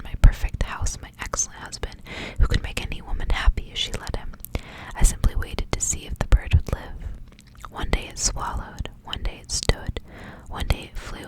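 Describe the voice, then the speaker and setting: Female, full whisper, breathy, ASMR storybook reading, gentle phrased narration. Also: poor audio. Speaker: ASMR reader.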